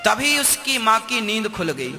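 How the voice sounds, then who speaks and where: Male storyteller, very high bright voice, acts out moral-tale dialogue, reverberant hall. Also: very animated, measured pace, gravelly. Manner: acts out dialogue with colloquial repetition. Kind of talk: animated oral moral storytelling to listeners. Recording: echoey hall, long reverb, faint music.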